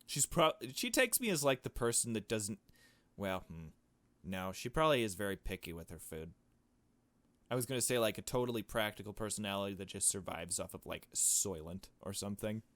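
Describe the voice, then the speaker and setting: A man with a fairly low voice narrates a visual novel as he plays. He moves between reading the text aloud and making casual, blunt remarks of his own. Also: expressive, fairly quick, fairly bright tone, no room sound. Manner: casual, blunt asides with swearing. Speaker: gaming video host.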